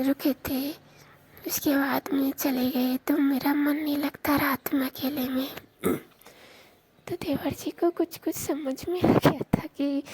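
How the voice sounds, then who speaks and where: A woman telling an intimate confessional story in a hushed, breathy and halting way. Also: high-pitched. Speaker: storyteller in a staged call.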